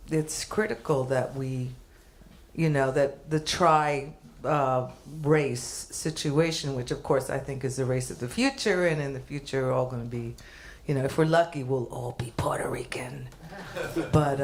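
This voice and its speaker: A woman's voice: deep voice, darkish tone. A woman reflecting aloud to a gathering, searching for words. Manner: searching, reflective, many fillers. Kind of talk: speaking at an artist reception.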